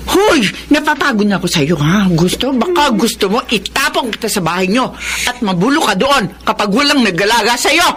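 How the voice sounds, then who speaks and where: A middle-aged mother in a Tagalog radio drama, sharp-tongued and secretive. Her voice sits fairly high, over clearly noisy ambience. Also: big pitch swings, moderate pace, hardly any pauses. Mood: exasperated, scolding. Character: older mother in a secret affair, impatient, sharp-tongued caregiver.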